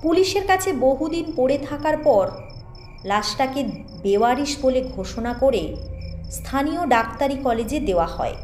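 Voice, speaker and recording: High, expressive woman narrating a ghost story. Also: moderate pace, slight background noise. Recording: echoing voice over music bed.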